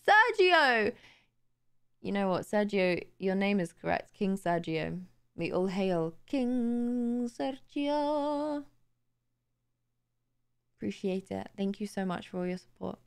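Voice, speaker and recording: Young woman streamer, kinda high voice gone a bit raspy, sleepy late-night chatter into a close mic. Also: lively intonation, slow pace, a bit bright. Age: young adult. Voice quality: slightly raspy today. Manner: chatty, bubbly, laughs easily. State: tired. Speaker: live streamer. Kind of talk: casual chat thanking viewers. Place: home streaming room, indoors. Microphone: boom studio mic close to mouth.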